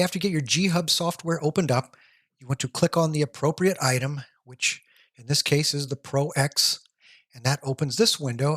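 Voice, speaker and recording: A man giving a plain, step-by-step voice-over walkthrough of headset software, now and then dropping an "uh". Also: medium pitch, no room sound. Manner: plain step-by-step explaining, occasional "uh" fillers. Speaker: tech product reviewer.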